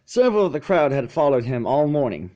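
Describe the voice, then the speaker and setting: Male storyteller, mid-pitched and highly animated, dramatic emphasis, expressive read-aloud narration. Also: fairly quick, dull audio. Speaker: fan fiction narrator.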